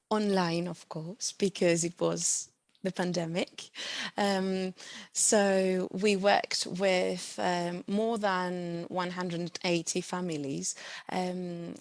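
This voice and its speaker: A woman whose native language is Greek, a university lecturer giving a measured, explanatory presentation over a video call. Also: fairly low pitch, dry recording. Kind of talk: online presentation.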